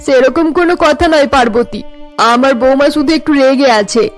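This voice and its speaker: A woman's voice: high voice, normal speed, faint music behind, lightly acted. A woman playing a remorseful, pleading elderly mother-in-law in a cartoon family melodrama. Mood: remorseful, plaintive. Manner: plaintive, pleading.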